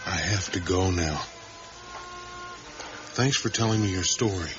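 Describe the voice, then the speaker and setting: A man's voice: low voice, measured pace. An actor playing a curious, courteous male traveller in a radio drama, asking polite, probing questions and listening attentively. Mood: uneasy, polite. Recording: muffled, noisy 1980s radio recording.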